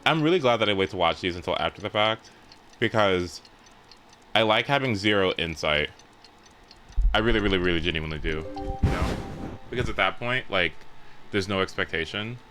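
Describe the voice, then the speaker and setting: A man's voice: low voice, animated, moderate pace, pauses often, pushed and bright, soft background music. A young adult man chatting casually on a game livestream, rambling informally and swearing freely. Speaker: live streamer. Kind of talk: casual livestream chat while playing a game.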